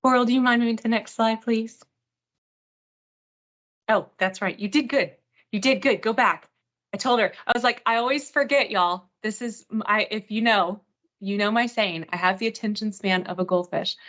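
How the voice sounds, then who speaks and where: A woman hosting a live software training webinar in an informal, self-deprecating, reassuring way. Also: fairly high pitch, normal speed. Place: online video meeting. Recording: dull lo-fi online meeting audio.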